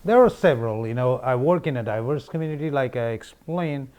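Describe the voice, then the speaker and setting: A man's voice: mid-range voice, expressive, brisk, darkish tone. A middle-aged man from Nepal with a Nepali accent, giving earnest, rambling interview answers as a political candidate, with many fillers and restarts. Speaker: congressional candidate.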